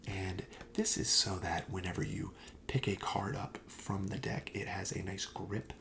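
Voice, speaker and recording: Low, creaky, soft-spoken ASMR man. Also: flat delivery, unhurried, slight background noise. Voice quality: soft, breathy half-whisper. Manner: gentle, polite, attentive salesman. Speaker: ASMR host playing a card shop clerk.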